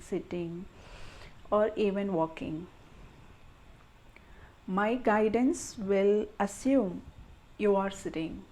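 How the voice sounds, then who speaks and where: A woman guides a meditation with a soft, soothing delivery. Her tone is mellow and warm, at a middle pitch for a woman. She moves very slowly, setting down short phrases with pauses between them.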